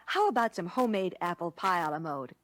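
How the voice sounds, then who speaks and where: A woman playing a courteous American lunch counter waitress, explaining service clearly in a scripted 1960 training film. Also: lowish voice, animated, brisk, close to an everyday voice. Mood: polite, instructive. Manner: courteous, instructive, clearly worded.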